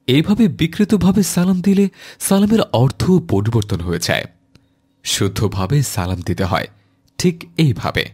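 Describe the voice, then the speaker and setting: A man voicing a kindly Islamic schoolteacher in a cartoon, gently instructing a child in a didactic way. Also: fairly low pitch, slightly rough, full character voice. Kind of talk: animated cartoon dialogue.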